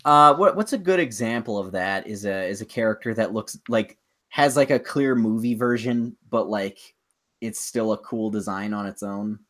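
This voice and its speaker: A man's voice: fairly low pitch, normal speed, fairly bright tone. Opinionated man in casual podcast chat. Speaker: podcast co-host.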